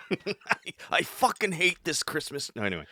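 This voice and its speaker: A man's voice: a little high, sing-song, measured pace, sharp tone, no room sound, clean studio sound. A man co-hosting a podcast with casual, irreverent, sweary banter. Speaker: podcast co-host.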